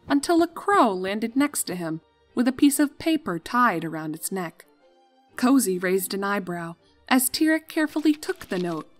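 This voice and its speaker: A woman narrates a scripted fan story in an expressive storytelling style. Her voice sits at a medium pitch, with music playing underneath.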